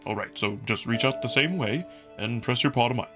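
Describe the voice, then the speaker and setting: A man's voice: mid-range voice, brisk, over music, natural voice. A man voicing a large male beast noble in a visual novel, earnest and theatrical, with a grand, declaiming manner. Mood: patient, earnest.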